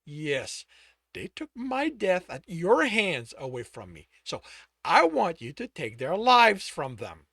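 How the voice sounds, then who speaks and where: A man voicing a young man who barks harsh, forceful demands at someone he loves. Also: sits high, wildly swooping pitch, slow, dry recording, studio quality, full character voice. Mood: cold, scornful. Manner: loud, clipped, forceful delivery. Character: young man, desperate and furiously commanding.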